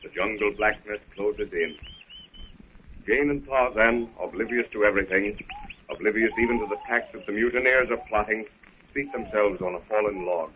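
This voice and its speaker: A man narrating a scripted radio drama in a measured, theatrical 1930s style. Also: on the low side. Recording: muffled, hissy 1930s radio recording.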